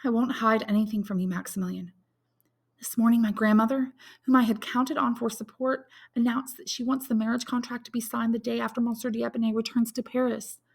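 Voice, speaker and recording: A woman's voice: a little high, flat delivery, a little fast, gravelly, breathy edge, voice pushed up. A woman voicing a distraught, dutiful young woman in love, with an emotional, imploring manner in a dramatic audiobook. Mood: sorrowful. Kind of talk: dramatic audiobook character reading.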